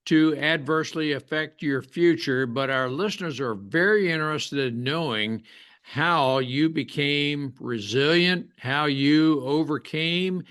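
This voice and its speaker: A man hosting a podcast interview, asking long, careful questions with frequent pauses and fillers. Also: a little high, a bit bright, clear, resonant tone. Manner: slow, deliberate questions with many fillers. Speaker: podcast host.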